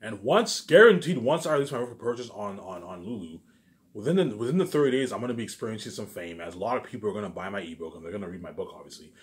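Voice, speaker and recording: A man talks informally to his audience in a slightly low voice. He moves at a very quick clip, circling back on the same phrases and restarting them. His delivery is emphatic, rambling and peppered with swearing. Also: lively intonation. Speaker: vlogger. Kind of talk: rambling informal monologue to camera.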